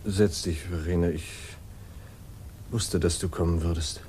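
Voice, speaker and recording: An actor playing a broken, grieving widowed father, gentle and weary, halting and subdued, in 1950s radio drama style. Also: fairly even intonation. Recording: rumbly noisy 1950s radio recording.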